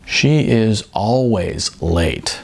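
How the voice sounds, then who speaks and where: A man's voice: on the low side, expressive, very slow, gravelly, slightly rough, exaggerated voice. A man voicing a contented, wistful, overweight male model in an audiobook, chatting casually. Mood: easygoing.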